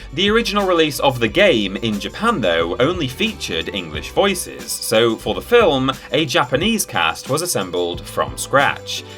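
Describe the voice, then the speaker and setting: A man's voice: mid-range voice, fairly bright tone. A man presenting a scripted countdown in a wry, tongue-in-cheek manner. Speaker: video presenter. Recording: music behind the voice. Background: background music with guitar.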